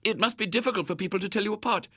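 A man's voice: sits high, racing, slightly rough, dry recording, clean studio sound, voice pushed up, exaggerated voice. A man voicing a severe, prim and gullible woman in a storybook, with a proper manner. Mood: chatty, confiding. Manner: grim and sharp, softening to gracious.

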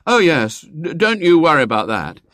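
A man's voice: a little high, very animated, normal speed, fairly bright tone, no room sound, studio quality, pitched above the performer's own voice. A man voicing an awkward schoolboy in a children's storybook, eager but out of his depth, hesitant and stammering as he blusters. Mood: uneasy bluster.

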